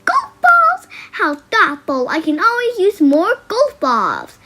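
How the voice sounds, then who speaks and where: A woman reading a storybook and voicing a cheerful older sister, high and bubbly. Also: wildly swooping pitch, slow, bright, forceful tone, heavily acted. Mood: excited.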